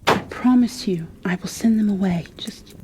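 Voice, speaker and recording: A woman playing a gentle, protective creature bookkeeper in a soft-spoken ASMR roleplay, hushed, soothing and a little hesitant. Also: mid-range voice, normal speed, slight background noise, close to an everyday voice. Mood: urgent, hushed.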